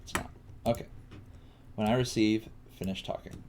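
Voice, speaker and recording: A man teaching coding on a casual livestream, thinking aloud in a halting mutter as he works. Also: on the low side, fairly even intonation. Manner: halting, muttering to himself while working. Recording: faint noise, keyboard typing and clicks. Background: computer keyboard typing and mouse clicks.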